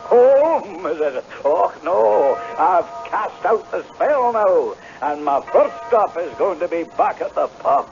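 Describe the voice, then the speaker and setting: A man playing an anxious, excitable Scottish piper who loves his whisky, in a theatrical radio drama with a Scottish accent. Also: high-pitched, slow pace, creaky, hardly any pauses, over music, full character voice. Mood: elated. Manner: theatrical, exclamatory. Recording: muffled, noisy old radio broadcast.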